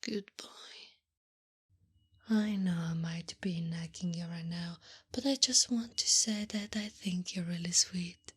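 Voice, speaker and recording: A woman playing a doting, teasing, bossy wife who mothers her husband, in a soft, often whispered ASMR roleplay with coaxing pet names and gentle scolding. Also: fairly low pitch, takes it slowly, slightly rough, full character voice. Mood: fond. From Italy.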